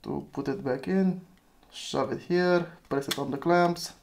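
A chatty man talks through a hands-on gadget review, thinking aloud and chuckling at his own slip-ups. His voice sits a little higher than most men's. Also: steady tone, a little slow, gravelly, slight background noise. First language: Romanian. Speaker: retro phone reviewer.

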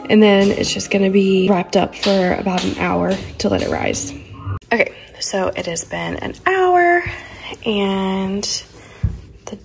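A young woman with a raspy voice, casually talking through a bread recipe in her home kitchen, chatty and loose with fillers. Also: mid-range voice. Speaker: lifestyle vlogger. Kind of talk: casual baking walkthrough.

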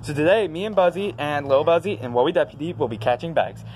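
Man playing goofy excitable toy character, high voice, swoopy, windy outdoor phone recording. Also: fast talker, lightly acted, low-quality recording. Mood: cheerful. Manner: playful, yelping and whooping while chasing bags. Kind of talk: silly outdoor play video. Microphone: handheld phone.

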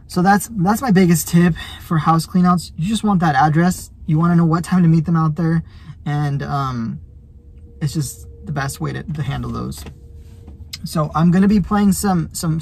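Male vlogger, thirties, conversational small-business advice, gravelly edge, slightly raspy. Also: on the high side. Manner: conversational, explanatory. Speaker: junk removal business owner giving tips. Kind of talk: casual advice vlog. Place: inside a parked truck cab.

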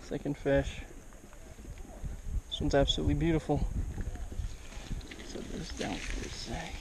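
A man's voice: a little high, a little slow, vocal fry, noisy background. A young man filming himself fishing at a mountain lake on a body-worn action camera, chatting enthusiastically about his catch. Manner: enthusiastic, upbeat, gushing over the catch. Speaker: fishing vlogger and scout troop leader. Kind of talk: casual outdoor fishing commentary. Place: outdoors at a mountain lake shore.

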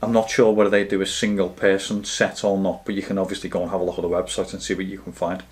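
Low, even-voiced man. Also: fast talker. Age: 30s to 40s. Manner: matter-of-fact, explanatory. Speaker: camping gear reviewer. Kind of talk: casual product review to camera.

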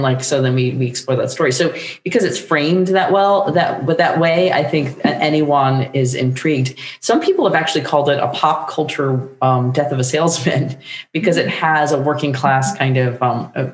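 A woman's voice: a bass voice, moderate pace. Rambling woman in an echoey room. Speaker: guest playwright. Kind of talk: casual interview chat. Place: book-lined room at home, indoors. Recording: roomy, echoey video call.

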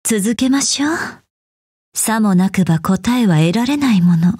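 A serene, mysterious fortune-teller from a video game. Her voice is very breathy and soft, with a dark, velvety tone. She speaks slowly and elegantly, very close and dry. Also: mid-range voice, expressive, dry recording. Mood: enigmatic, composed. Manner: slow, poised, mysterious. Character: serene, enigmatic fortune-telling woman, elegant keeper of memories. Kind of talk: scripted game voice lines.

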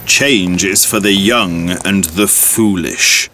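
An actor playing a grumpy, reluctant older man in an audio drama, gruff and grudging. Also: low voice, slow, bright, forceful tone, exaggerated voice.

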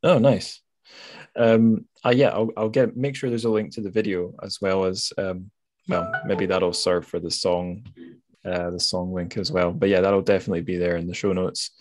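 A man's voice: low voice, little pitch movement, moderate pace, a little mellow, no echo. A man hosting a podcast interview in a casual, chatty and self-deprecating manner. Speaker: podcast host.

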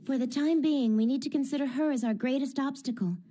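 A woman playing a confident, bossy teenage girl mage in an English anime dub, brisk and teasing. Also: sits high, background noise, lightly acted. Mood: businesslike. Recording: loud guitar music behind the voice. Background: loud guitar music.

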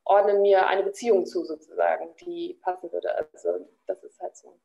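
A woman giving thoughtful interview answers over a video call, pausing often with fillers. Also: fairly low pitch, fairly even intonation, vocal fry, dark tone, highs cut off. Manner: thoughtful, many pauses and fillers.

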